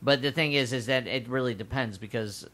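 A man's voice: medium pitch, fairly quick, a bit bright. A man co-hosting a podcast, explaining at length and with emphasis, drawing out his words in casual chat. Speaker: podcast co-host.

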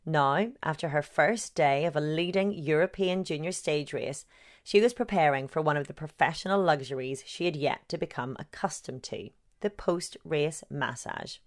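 Woman narrator with a low voice, sober and measured: a journalist reading her long-form feature. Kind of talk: scripted narration.

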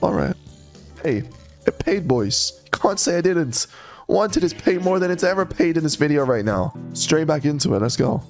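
A young man streaming an online slot game, chatting to viewers and breaking into loud yelps when it pays, though he is sick and losing his voice. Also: medium pitch. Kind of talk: live commentary over online slot play.

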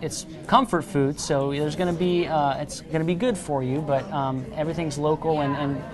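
A man in his thirties on a lapel mic, eagerly pitching his restaurant in a TV interview and agreeing with an upbeat "absolutely". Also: normal speed. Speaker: restaurant representative. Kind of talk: promotional TV interview. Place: large busy restaurant dining room. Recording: restaurant crowd chatter behind. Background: diners chatting.

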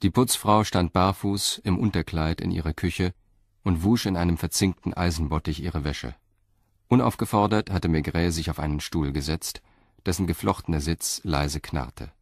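Man, narrator, very low voice, measured, dry studio sound. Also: no room sound. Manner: measured, calm storytelling. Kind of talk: scripted audio drama narration.

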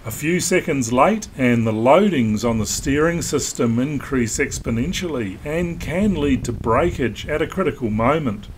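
Male host, steady instructive voice-over, mid pitch, some background noise. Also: moderate pace, hardly any pauses, low-quality recording. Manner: calm, instructive. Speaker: sailing vlog host. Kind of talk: scripted voice-over narration. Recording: wind noise on deck.

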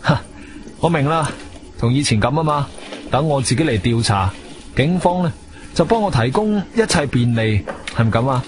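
A man's voice: mid-range voice, animated, moderate pace, background noise, close to an everyday voice. An actor with a Guangzhou Cantonese accent playing an inquisitive, self-assured investigator who tells his own story in a theatrical radio drama style. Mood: insistent. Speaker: narrator and lead actor. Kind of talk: Cantonese radio drama, first-person narration and dialogue. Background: music.

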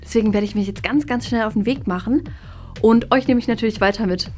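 A young woman talks casually as a vlog host. Her voice sits fairly high. She is recorded indoors on a handheld camera, with faint music behind her. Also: fast talker, darkish tone. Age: young adult. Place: indoors, small craft studio and office rooms. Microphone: handheld vlog camera at arm's length.

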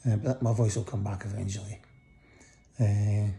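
Croaky, gravelly man in a hospital bed. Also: fairly low pitch, level tone, mellow. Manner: lying in bed, tired, talking slowly with many pauses. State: croaky voice after breathing tubes. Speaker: vlogger giving a hospital update. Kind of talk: casual personal video update. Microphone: phone held close above face.

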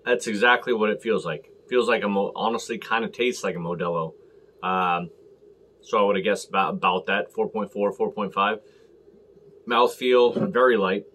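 An American man hosting a casual beer review to camera, talking in halting, clipped short phrases. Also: on the low side, steady tone, a bit bright. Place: small room at home, indoors.

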